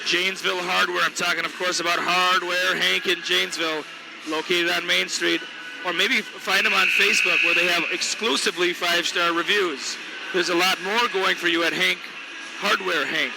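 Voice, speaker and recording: High gravelly-voiced man calling basketball. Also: moderate pace. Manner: energetic, reads sponsor ads. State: voice weakening. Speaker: play-by-play announcer. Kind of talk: local sports commentary. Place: basketball gym. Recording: noisy gym broadcast. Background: gym ambience, bouncing balls.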